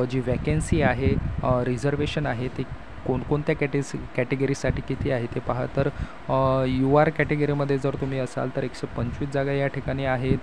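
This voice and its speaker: Man, monotone delivery, video host explainer, hesitant reading of details, noticeable vehicle and urban noise in background. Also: medium pitch, gravelly. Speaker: YouTube host announcing job openings. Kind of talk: informational explainer to viewers, semi-scripted.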